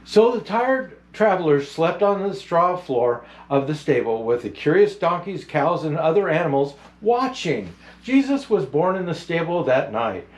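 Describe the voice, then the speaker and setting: A man playing a jolly, kindly old Santa Claus, reading a picture book to children in an unhurried, grandfatherly way with chatty asides. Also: on the high side, sing-song. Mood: gentle storytelling.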